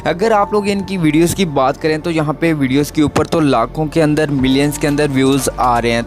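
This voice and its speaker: A man hosting a tutorial, giving casual step-by-step instructions in a repetitive explaining way, with English tech words mixed in. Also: fairly high pitch. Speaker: tutorial host.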